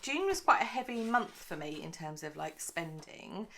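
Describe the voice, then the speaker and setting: A woman talks through her monthly budget in a loose, rambling way, full of ums and asides. Her voice sits a little low and has a gravelly, croaky edge, since she is recording early in the morning. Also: big pitch swings, normal speed, bright, forceful tone. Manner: chatty, rambling, many fillers. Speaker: budgeting channel host. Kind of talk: casual chatty monthly budget vlog.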